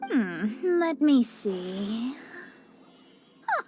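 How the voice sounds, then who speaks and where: A high, poised young woman's voice for a video game. She is musing and deliberate, taking her time with expressive lilts over music. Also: full character voice. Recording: music under the dialogue.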